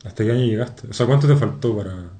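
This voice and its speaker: Quick-talking male interviewer, roomy sound. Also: fairly low pitch, warm tone. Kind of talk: conversational interview.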